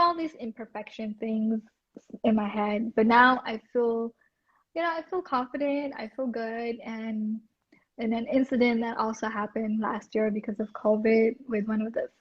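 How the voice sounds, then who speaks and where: A woman with a soft voice, talking hesitantly with many restarts as a guest in a casual interview. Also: on the high side. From India. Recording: dull lo-fi audio.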